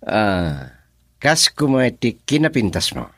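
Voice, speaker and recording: An actor playing a sentimental suitor in an Ilocano radio love drama, speaking dramatically with an Ilocano accent. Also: male voice, on the low side, sing-song, slow pace, a bit bright, no room sound, full character voice. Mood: polite.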